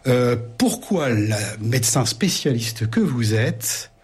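A man conducts a formal interview, his voice very husky and breathy. He asks long, courteous questions that wind around, with small repetitions as he goes. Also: mid-range voice, sing-song, takes it slowly.

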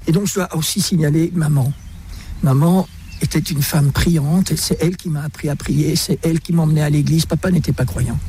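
Un homme âgé à l'belge et à la voix un peu haute pour un homme raconte son histoire avec entrain et de petits rires. Léger souffle de fond. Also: male voice, on the high side, slight background noise. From Belgium, raised in Brussels. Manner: lively storyteller, chuckles often. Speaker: interview guest. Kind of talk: radio interview, personal testimony.

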